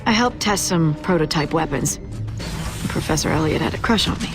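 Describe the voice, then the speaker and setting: A woman's voice: low voice, lively intonation, moderate pace. Earnest young female soldier cadet over music. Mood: focused. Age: young adult. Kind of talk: video game voice acting, partly scripted narration.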